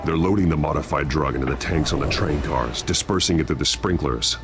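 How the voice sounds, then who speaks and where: A grim, brooding masked detective in a video game. His voice is extremely deep, clipped and analytical. Game music runs steadily underneath. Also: a little fast.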